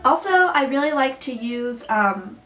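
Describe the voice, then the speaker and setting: A young woman, a little hoarse, chatting her way through a hair tutorial with lots of ums and likes. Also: high voice, expressive, a little slow, fairly bright tone, faint music behind. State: hoarse, getting her voice back. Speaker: beauty vlogger. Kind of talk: casual hair tutorial chat. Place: room at home beside a window. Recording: echoey room, mic a bit far.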